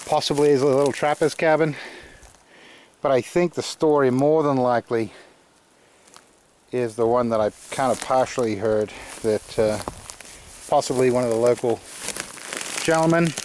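A man's voice: mid-range voice, a little hiss or hum. Rambling Australian man outdoors. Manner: rambling, relaxed, many fillers and restarts. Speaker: channel host. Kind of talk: casual walking tour vlog. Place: outdoors in rural woodland.

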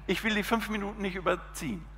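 A man's voice: sits high, quick pace, bright, forceful tone. A man in his fifties giving a talk on a conference panel, gesturing animatedly as he speaks on an outdoor event stage. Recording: reverberant PA sound with faint background noise.

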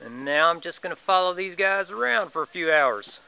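A man narrating his motorcycle ride from a helmet mic in a relaxed, unhurried way, with wind and engine noise. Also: high voice, creaky, clean tone, poor audio. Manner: relaxed, unhurried, talks while riding. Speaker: motovlogger. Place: on a motorcycle outdoors. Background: road and wind noise.

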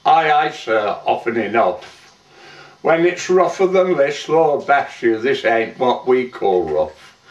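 A man's voice: mid-range voice, expressive. A man playing a weathered old lifeboatman, reciting a sorrowful dramatic monologue in folksy, plain-spoken verse. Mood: hardy, grave. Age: older. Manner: measured, folksy storytelling with rustic dialect. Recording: roomy, dull, distant microphone.

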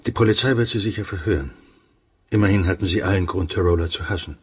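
An actor playing a grave, insistent investigator in a radio play, speaking in a sober, restrained and firm way. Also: male voice, low voice, fast, husky, distant mic. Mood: firm, concerned.

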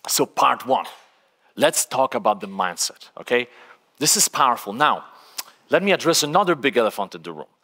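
A man from Poland speaks with a Polish accent, giving a conversational, high-energy workshop talk to a seated audience. His voice is bright and his pitch swings widely. It rings out with the long reverb of a large conference hall. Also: moderate pace. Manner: energetic, conversational, frequent "right?" tags.

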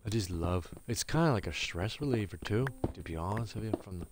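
A man's voice: on the low side, animated, a little fast, background noise. A man with a stuffy nose giving upbeat, rambling commentary as he plays a game and talks to his viewers. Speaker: gaming streamer. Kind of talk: casual live gameplay commentary. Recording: game music playing loudly behind. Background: game music.

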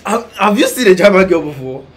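A young man co-hosting a casual vlog chat, animated and quick to laugh, seated on a couch indoors. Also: high-pitched. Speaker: vlog co-host. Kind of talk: casual chat comparing countries.

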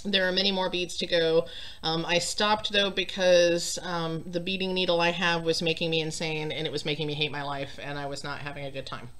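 A woman's voice: on the low side, level tone, a little fast, a bit bright. A woman in her thirties chatting casually to a webcam at home about her stitching, rambling with lots of ums, sounding congested. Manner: rambling, chatty, frequent ums and self-deprecating laughs. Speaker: cross stitch vlogger. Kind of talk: casual chat to camera. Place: home craft room, indoors.

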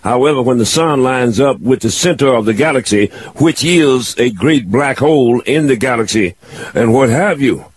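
Rambling husky man in his sixties. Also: mid-range voice, lively intonation. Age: 60s. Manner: rambling, halting, many fillers. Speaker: radio show host. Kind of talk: casual call-in chat.

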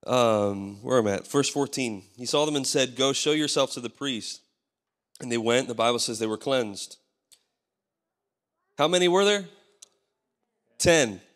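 A man in his thirties preaches from a church stage with a hoarse, tired voice, calling out for amens as he goes. His handheld mic sits in a large hall with a long reverb behind it. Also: medium pitch, fairly bright tone. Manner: preaching with call-and-response, asking the crowd for amens. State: losing his voice. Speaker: pastor. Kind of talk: sermon.

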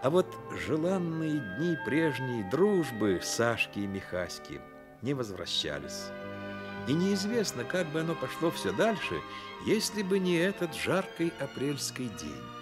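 A man narrating a radio play as a dramatic storyteller, measured and expressive. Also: on the high side, music in the background.

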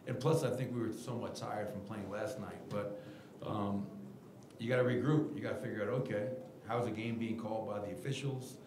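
Middle-aged coach guy at a press table, lowish voice, roomy echo and steady hiss behind. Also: brisk. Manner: candid, animated, gestures while explaining. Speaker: basketball head coach. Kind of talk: post-game press conference answers. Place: press room at team podium. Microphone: table mic in front of him.

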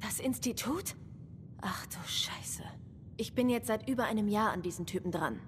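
An actress playing a sharp-tongued young newspaper reporter in a video game, sardonic, punchy and inquisitive. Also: female voice, medium pitch, lively intonation, normal speed, long reverb tail, a little hiss or hum, lightly acted. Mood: intent.

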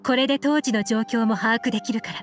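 A woman's voice: a little high, brisk, close to an everyday voice. A woman playing a cool, confident, shrewd female operative in an anime game, poised and teasing, over background music. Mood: calm, thoughtful. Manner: poised, teasing, feminine phrasing.

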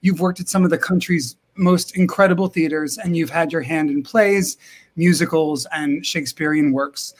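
Guy hosting a zoom show from home, kinda high voice, lots of uhs, excited. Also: normal speed. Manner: enthusiastic, many uh and um fillers. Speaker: host. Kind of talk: live-stream interview hosting. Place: home room with brick wall. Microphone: video call webcam.

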